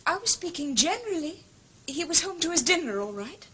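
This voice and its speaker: A woman's voice: very high-pitched, big pitch swings, a little fast, sharp tone, slight background noise, full character voice. An actress playing a guarded, uneasy married woman in a radio drama, speaking politely.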